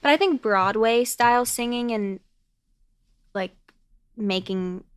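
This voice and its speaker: A woman's voice: on the high side, takes it slowly, clean tone, no echo. A woman talking as a podcast guest in casual chat, rambling with lots of fillers and restarts. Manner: chatty, lots of "like", restarts into stories. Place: podcast studio.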